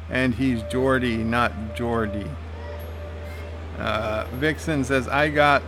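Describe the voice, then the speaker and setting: A man's voice: mid-range voice, background noise. A man streaming a game, reading viewer comments aloud and answering them casually, with lots of "uh" fillers.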